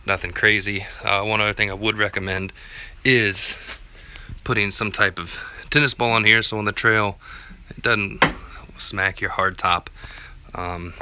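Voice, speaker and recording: A man's voice: lowish voice, bright, forceful tone, a little hiss or hum. A man giving a casual, unscripted how-to walkthrough in a plainspoken, laid-back way, as a vlogger would. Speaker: vlogger presenting a DIY gear tutorial.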